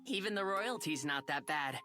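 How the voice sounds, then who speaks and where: Cocky, animated boy inventor. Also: sits low, moderate pace, music in the background. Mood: earnest, fired up. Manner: brash, casual, earnest. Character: cocky, upbeat young male inventor kid. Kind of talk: video game voice acting.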